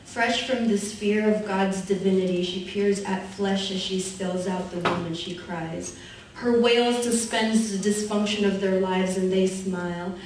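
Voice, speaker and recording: A young woman recites her spoken word poem in a soft, measured, rhythmic way. She is heard from well back in a big echoing hall, with a faint hum underneath. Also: mid-range voice, steady tone. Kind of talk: spoken word poetry performance. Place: university event hall. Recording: echoey hall recorded from far off.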